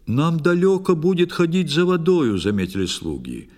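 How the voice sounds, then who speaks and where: A man's voice: a little high, sing-song, normal speed, darkish tone. A man narrating a poetic fairy tale as a storyteller, theatrically and intimately, as if confiding in the reader.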